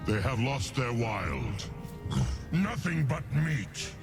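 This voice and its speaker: A man voicing an ancient, wrathful bear demigod of storms in a game, declaiming solemnly and savagely in archaic speech with a deep growl. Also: sing-song, takes it slowly, creaky, full character voice. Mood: scornful wrath. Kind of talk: dramatic game character monologue. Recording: loud music under the voice.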